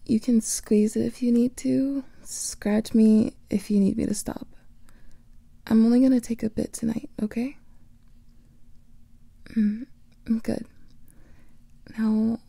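Casting: woman playing a doting vampire girlfriend, slow breathy whisper, dark gravelly tone, dry close mic. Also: fairly high pitch, steady tone, dry recording. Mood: tender. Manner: soft intimate whispering, doting. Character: affectionate female vampire lover, doting. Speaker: ASMR roleplay performer. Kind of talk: whispered ASMR roleplay.

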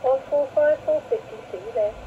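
A woman's voice: high-pitched, a little fast. A woman amateur radio operator chatting casually, heard over a two-way radio. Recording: muffled, noisy FM radio link.

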